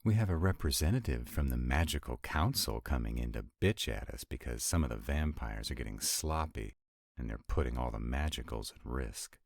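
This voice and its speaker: Man acting a very deep, sardonic vampire lover. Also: vocal fry, no echo, clean studio sound, heavily acted. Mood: exasperated sarcasm. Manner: sardonic, exasperated, intimate, swooping emphasis. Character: sarcastic, grumbling, affectionate male vampire councilman and lover. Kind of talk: romantic roleplay audio acting.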